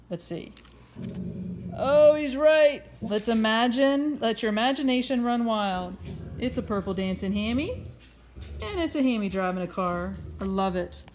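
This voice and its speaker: Expressive woman reading a picture book aloud. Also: medium pitch, slight background noise. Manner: expressive, encouraging, asks questions of listeners. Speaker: children's story time host and reader.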